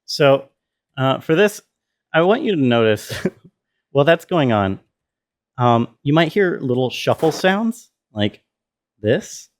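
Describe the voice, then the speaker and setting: A man's voice: medium pitch, big pitch swings, slow pace, long pauses. A man giving an informal walkthrough as a sound designer, thinking aloud as he explains. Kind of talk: casual tutorial walkthrough.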